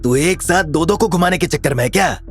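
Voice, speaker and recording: Man playing a high-pitched, sneering young bully. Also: fairly quick, faint music behind, lightly acted. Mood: taunting. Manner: taunting, sneering, forceful. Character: cocky, menacing young rich male rival, mocking bully. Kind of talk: Hindi audio drama character acting.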